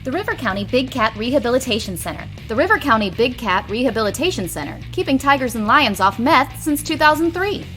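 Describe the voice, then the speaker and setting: A woman's voice: fairly high pitch, lively intonation, fairly quick, few pauses. A woman announcer delivering a scripted mock sponsor ad read. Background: music.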